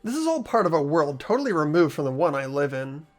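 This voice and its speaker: A man voicing an earnest, anxious high school student in a fan-dubbed game, hesitant and questioning. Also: very animated, quick pace, faint music behind. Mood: inward, pensive. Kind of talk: fan voice acting of a visual novel game.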